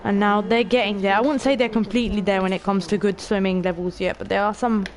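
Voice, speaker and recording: A woman in her late teens with a stuffy, sniffly voice chats through a game stream. She is excitable and offhand, swearing casually and talking straight to her viewers at an ordinary pitch, with a faint hiss behind. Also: fast. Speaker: gaming streamer. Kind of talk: casual let's-play commentary.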